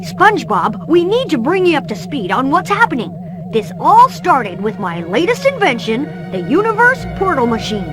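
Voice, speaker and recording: A confident boy genius from a cartoon game. He is earnest and explanatory, with a high voice that moves around a lot. Music plays in the background. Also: wildly swooping pitch, normal speed. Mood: earnest explaining. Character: brainy, earnest boy inventor, confident young leader. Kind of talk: cartoon video game voice acting.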